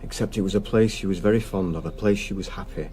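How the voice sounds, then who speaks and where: A man's voice: low-pitched, fast, warm tone, faint music behind, close to an everyday voice. An actor playing a strained, controlling middle-aged husband and father in a radio drama. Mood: subdued. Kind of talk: naturalistic radio drama acting.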